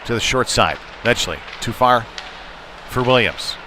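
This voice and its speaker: Man calling hockey over clear rink noise. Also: mid-range voice, expressive, normal speed. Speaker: play-by-play commentator. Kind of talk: live ice hockey play-by-play commentary. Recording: noisy live arena sound. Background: rink noise and ambience.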